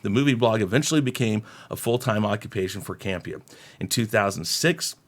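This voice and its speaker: Guy with a low voice doing casual youtube opinion commentary, kinda rambly and informal. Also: expressive, brisk.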